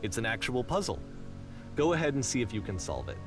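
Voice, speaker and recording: An actor playing an earnest, reflective game developer who guides players in conversational scripted narration. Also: male voice, medium pitch, sing-song, brisk, over music, close to an everyday voice. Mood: explanatory. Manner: earnest, explanatory.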